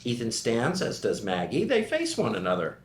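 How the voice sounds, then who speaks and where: A man's voice: medium pitch, wildly swooping pitch, moderate pace. A man narrating scripted stage directions from a screenplay as the reader at a table read. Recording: roomy echoing table-read recording.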